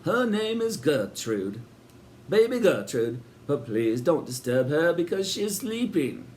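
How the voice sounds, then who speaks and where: A man voicing a quick-witted old man with tin legs, dry and wry in a storybook reading. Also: a little high, sing-song, a little mellow, a little hiss or hum, pitched above the performer's own voice. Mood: flustered.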